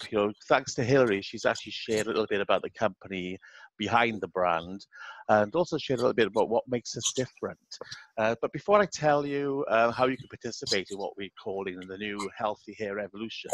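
A man with a slightly hoarse voice, giving a conversational sales presentation on a webinar. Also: lowish voice, a bit bright, no echo. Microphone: online webinar microphone. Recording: dull lo-fi webinar microphone.

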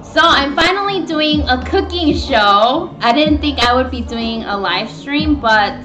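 High-voiced young woman streaming in an echoey room. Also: animated, a little slow. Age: young adult. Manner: informal, meandering, greeting viewers. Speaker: streamer hosting a live cooking show. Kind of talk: casual live stream chat. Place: small home room, indoors at a table. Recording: echoey, dull webcam stream, background music.

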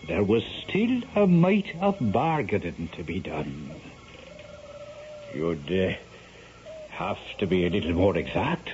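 A blustering Irish gambler and yarn-spinner of old radio drama. His theatrical speech swings widely in pitch and can tumble into stammering. The recording is dull and noisy. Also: darkish tone. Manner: theatrical, stammering when agitated. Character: roguish Irish storyteller voicing a blustering gambling knight. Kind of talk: 1970s radio drama acting.

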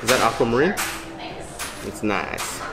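A man's voice: mid-range voice, lively intonation, vocal fry. A man in his thirties hosting a casual behind-the-scenes vlog, chatting and coaching a model in a small coffee shop. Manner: chatty, upbeat, coaxing a model with quick praise. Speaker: photographer and vlog host. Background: music.

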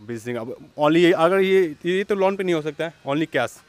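A man's voice: on the high side, expressive, normal speed, soft background music. A young man hosting an upbeat walk-through vlog at an outdoor used-vehicle lot, echoing the seller's answers back. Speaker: vlogger host. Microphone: handheld lapel-style mic.

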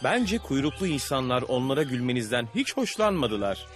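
Guy doing spirited loyal cartoon fellow, animated intonation, bit of music under it. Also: medium pitch, fairly quick, lightly acted. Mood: defiant. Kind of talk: dubbed cartoon acting.

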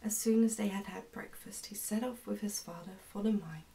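A young woman reads a storybook aloud for ASMR. Her voice is relaxed and mellow, with a soft, whispery, careful delivery. She is recorded indoors, a little way off from the microphone. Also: medium pitch, a little fast, far from the mic, slight background noise. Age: young adult. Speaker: ASMR narrator reading a book aloud. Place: indoors, small plain room.

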